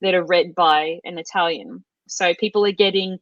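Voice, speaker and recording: Middle-aged Australian woman guest, lowish voice, dry dull lo-fi sound, chatty with fillers. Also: fairly even intonation, moderate pace, dry recording. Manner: chatty, many fillers and restarts. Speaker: guest, language course creator. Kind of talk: casual interview chat.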